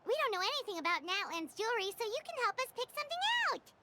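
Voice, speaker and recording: A little female fairy companion for a video game. The voice is piercingly high and animated, eager and exclamatory. Loud noise runs through the stream recording. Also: rapid-fire. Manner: refers to self in third person, exclamatory.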